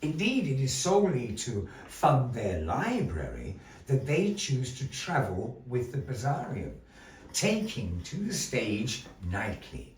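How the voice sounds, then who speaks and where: A theatrical man telling a puppet-show story with a lively, swooping delivery, unhurried and deliberate. He sounds distant, in a roomy, echoey gallery with a soft background hum of people. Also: mid-range voice. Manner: theatrical, playful, talks to the audience between story parts. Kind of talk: live puppet show narration with audience chat. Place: gallery venue, live show. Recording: echoey room, mic at a distance.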